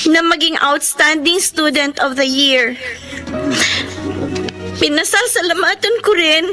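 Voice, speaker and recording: Casting: young graduate, polite and earnest, slow-paced, high-pitched, radio melodrama over music. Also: female voice, sharp tone, full character voice, poor audio. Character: grateful young female graduate, tearful, devoted granddaughter. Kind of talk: sentimental radio drama acting.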